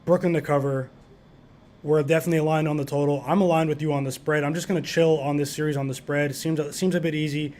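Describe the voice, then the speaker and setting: A man's voice: a little high, level tone, fast talker, darkish tone. A man hosting a sports betting podcast, easygoing and full of fillers, reading out lines and chat comments. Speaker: podcast host.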